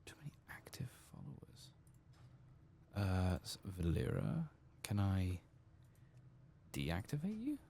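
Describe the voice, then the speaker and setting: Male ASMR game host: low-pitched, lilting soft murmur that slips into whispers, faint noise behind. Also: huge pitch swings, slow, gravelly, long pauses. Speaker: gaming channel host.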